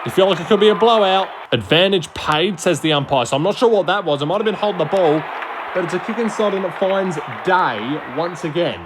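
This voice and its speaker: A man giving excitable, colloquial play-by-play sports commentary in a blunt manner, often answering his own questions. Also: high-pitched, fairly quick. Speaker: amateur football commentator. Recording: loud crowd noise behind the voice. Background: stadium crowd ambience.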